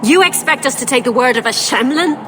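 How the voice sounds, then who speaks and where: Bitter, higher-voiced elven woman. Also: animated, fairly quick. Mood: bitter, accusing. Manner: accusing, scornful. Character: bitter, hostile female elven clan member. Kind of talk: dramatic video game voice acting. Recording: clear background ambient noise.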